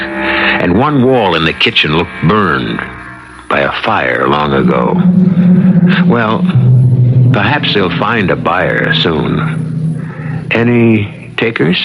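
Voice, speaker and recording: A man hosting and narrating a radio mystery with theatrical, wry, deliberate storytelling. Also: sits low, sing-song, poor audio. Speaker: host and narrator. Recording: old radio broadcast, loud music behind.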